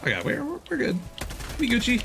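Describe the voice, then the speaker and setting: A man's voice: very high voice, huge pitch swings, fairly quick, creaky, music in the background. A man giving casual, playful gameplay commentary, with loose swearing and silly exclamations. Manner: playful, profane exclamations and sound words. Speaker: game streamer. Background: video game sound effects.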